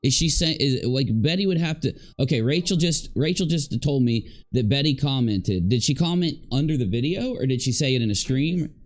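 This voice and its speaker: A man's voice: mid-range voice, expressive, moderate pace, hardly any pauses. A man commenting live, reacting to clips and talking to his chat in a sarcastic, rambling way. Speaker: livestream commentator.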